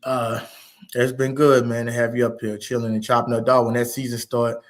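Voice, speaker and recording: Laid-back profane streamer. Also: male voice, mid-range voice, little pitch movement, brisk. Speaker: stream host. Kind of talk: casual late-night livestream chat. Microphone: laptop mic.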